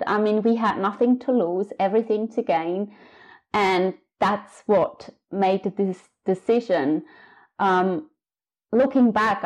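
A woman in her thirties from Switzerland, a book author talking reflectively in an interview with many pauses and fillers. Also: medium pitch, darkish tone. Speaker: author guest. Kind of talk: casual interview conversation.

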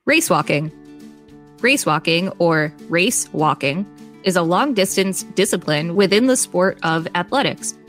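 Female podcast host, lower register, reading articles with casual asides over faint music. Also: animated, measured pace, a bit bright.

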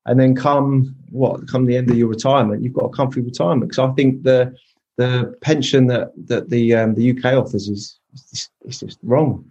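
Man, 30s, casual guest, repeats himself, mid-pitched voice. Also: fairly even intonation, normal speed, warm tone, dull audio. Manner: explains step by step with many restarts. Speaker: property investor guest. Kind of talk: casual podcast chat.

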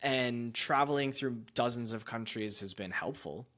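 A man hosting a podcast, musing in a relaxed, conversational way and often using fillers. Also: medium pitch, unhurried. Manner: reflective, musing, with loose fillers like "like" and "you know". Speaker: podcast host. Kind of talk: casual conversational chat.

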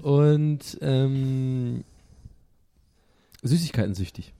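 A man's voice: mid-range voice, extremely slow, warm tone, smooth, no room sound. A man co-hosting a casual podcast, rambling and giggling, with a light Swabian touch to his German. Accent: light Swabian-tinged German. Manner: giggly, rambling, self-mocking. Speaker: podcast co-host.

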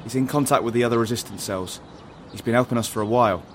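An actor playing a confident, determined young freedom fighter in an audio drama, matter-of-fact and a little cocky. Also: male voice, mid-range voice, quick pace, noisy background, lightly acted. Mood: firm, urgent.

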